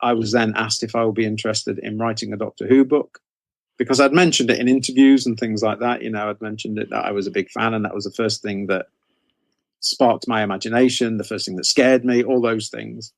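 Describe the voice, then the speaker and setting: A man's voice: on the low side, steady tone, fairly quick, vocal fry, no room sound. A middle-aged man giving conversational interview answers as a guest author, rambling a little as he repeats and rephrases.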